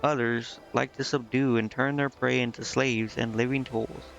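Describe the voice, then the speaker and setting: A man's voice: mid-range voice, little pitch movement, normal speed, vocal fry, fairly bright tone. A man streaming a game, commenting casually and reading dialogue aloud in a hesitant way, stumbling over words. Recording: slightly muffled, music behind. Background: game music.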